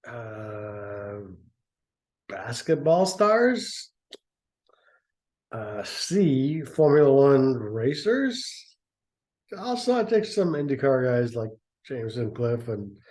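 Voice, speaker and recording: A man's voice: medium pitch, lively intonation, extremely slow, a little mellow. A man reading a story aloud and breaking off for sarcastic, mocking commentary. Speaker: reader and commentator.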